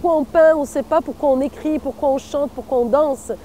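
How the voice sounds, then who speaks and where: A middle-aged woman from Morocco who is an artist, speaking thoughtfully in an interview with frequent hesitant pauses. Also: sits high, fairly even intonation, mellow, slight background noise. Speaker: artist and cultural organizer. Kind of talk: reflective interview.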